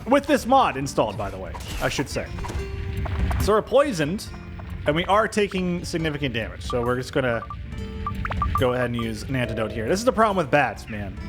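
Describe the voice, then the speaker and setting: Man musing over game music. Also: mid-range voice. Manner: thinking aloud, indecisive musing. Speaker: video game streamer doing a let's play. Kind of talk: casual gameplay commentary. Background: video game music.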